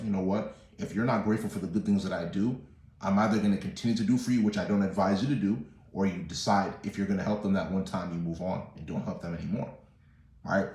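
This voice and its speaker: A man's voice: low voice, a little mellow. A young man born in the US to a Nigerian family, giving a casual motivational talk and preaching with lots of "bro" and "you know what I'm saying". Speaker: motivational vlogger. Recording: echoey room.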